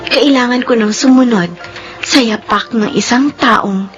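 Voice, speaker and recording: A woman's voice: a little high, unhurried, dull audio, lightly acted. A woman with a Filipino accent playing a frustrated, dutiful teenage daughter in a radio drama. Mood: wistful. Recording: music under the voice.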